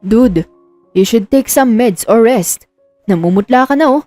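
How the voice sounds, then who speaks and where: A woman's voice: fairly high pitch, expressive, measured pace, darkish tone, no echo, faint music behind. A woman playing a worried, loyal male sidekick, serious and watchful, in a romance audio drama.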